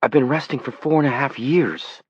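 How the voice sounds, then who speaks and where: A man's voice: mid-range voice, normal speed, slightly rough, no room sound, dull audio, voice pushed up, close to an everyday voice. A man voicing a groggy, insistent young male coma patient in an audiobook. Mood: frustrated.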